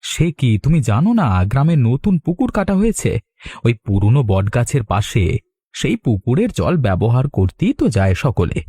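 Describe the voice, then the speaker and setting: An actor voicing a good-natured village man in a cartoon, in an expressive, husky voice. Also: mid-range voice, normal speed. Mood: cheerful, chatty. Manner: animated, expressive. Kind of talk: Bengali cartoon voice acting.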